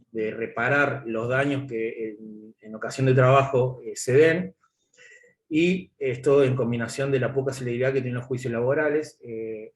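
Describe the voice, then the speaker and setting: Halting man presenting in an echoey room. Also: medium pitch. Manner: halting, many filler pauses. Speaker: student presenter. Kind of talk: class presentation over video call.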